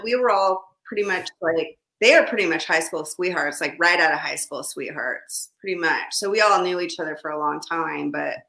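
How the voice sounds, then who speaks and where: Bright-voiced woman hosting a casual chat. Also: mid-range voice. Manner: chatty, swears casually. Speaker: host.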